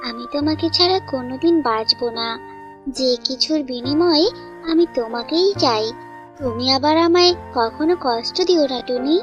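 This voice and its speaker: Woman voicing a high-voiced devoted young boy bird. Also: normal speed, dull audio, over music, lightly acted. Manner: earnest, reassuring. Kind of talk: cartoon fairytale dialogue.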